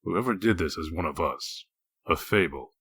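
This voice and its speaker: A man playing a gruff, humorless wolf-man detective in a comic dub, curt, stern and deadpan. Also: sits low, moderate pace, no room sound, clean studio sound, exaggerated voice. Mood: brooding. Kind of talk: comic dub character acting.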